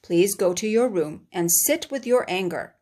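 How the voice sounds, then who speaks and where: A woman doing a calm, patient old grandfather. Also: mid-range voice, unhurried. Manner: calm, gently firm.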